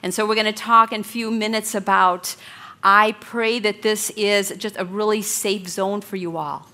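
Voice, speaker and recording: Woman, Bible study teacher, loud and encouraging, bright voice. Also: medium pitch. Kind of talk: women's Bible study lesson. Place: meeting room with a blower.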